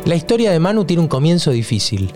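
A man giving scripted podcast narration in an even, informative delivery. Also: on the high side, fast talker. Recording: faint guitar music behind.

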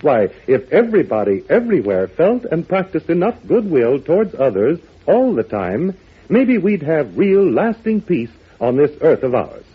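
A man's voice: fairly high pitch, sing-song, normal speed, breathy edge. A man announcing on old-time radio, earnestly and chummily addressing young listeners. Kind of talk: 1940s children's radio address. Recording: thin phone-like old radio recording.

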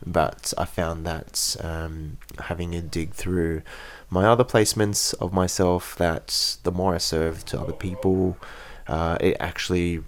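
Man, thirties, deep low voice, blunt, candid, casual chat. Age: 30s. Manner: frank, blunt opinions. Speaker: guest. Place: Japan.

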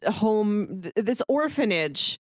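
A woman co-hosts a casual film podcast in conversational style. Her voice sits at an average pitch and sounds very dry, with no room echo around it. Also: sing-song, slow pace. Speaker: podcast co-host. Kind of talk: casual movie discussion.

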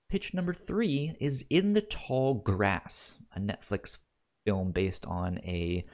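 A man co-hosting a podcast in casual chat, halting and often repeating the starts of his words. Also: lowish voice, darkish tone. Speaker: podcast co-host.

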